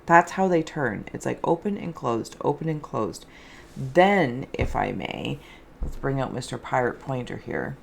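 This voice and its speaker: A woman hosting an improvised spiritual card and scrying reading, soft-spoken, with a dreamy, meandering, alliterative way of talking. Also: very deep voice, big pitch swings, measured pace, gravelly, breathy edge. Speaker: host, tarot and scrying reader.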